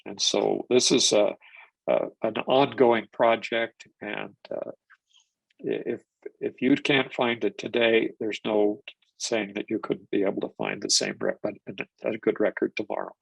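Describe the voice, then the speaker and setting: A man's voice: on the low side, expressive, measured pace, vocal fry, husky, no room sound, rough recording. An older man presenting a genealogy webinar, stuffed up from a cold, rambling with restarts and fillers. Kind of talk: live webinar lecture.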